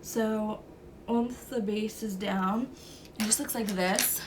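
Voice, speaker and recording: A young woman talks through a makeup look at an unhurried pace, her voice stuffy and nasal from a cold. She speaks in a mid-range voice, easy and conversational, with the odd "um" as she goes. A faint background hiss sits under the voice. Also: mid-range voice, fairly even intonation, rough recording. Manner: easygoing step-by-step talk with "um" and "like". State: stuffy nose, sniffling from a cold. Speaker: beauty vlogger. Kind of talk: makeup tutorial narration.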